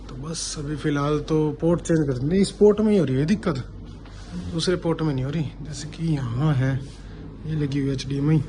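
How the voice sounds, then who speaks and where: A man with a fairly high voice talks in a casual vlog style. His carries a flavour, and he explains things informally as he goes. The recording has clear background noise from the location. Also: brisk, dark tone. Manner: informal, chatty, explaining as he works. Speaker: vlogger and CCTV installer. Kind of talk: casual vlog talk addressed to viewers. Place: on location at work sites. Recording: clearly noisy location sound, traffic.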